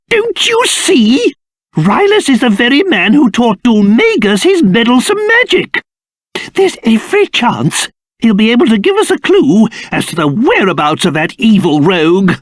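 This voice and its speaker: Fussy old creature king, haughty, theatrical, hugely animated pitch, unhurried delivery, dry studio sound, video game character. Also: no echo, exaggerated voice. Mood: plaintive, earnest. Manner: pompous, theatrical, exclamatory. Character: fussy, haughty old cursed king, a doting father turned strange creature.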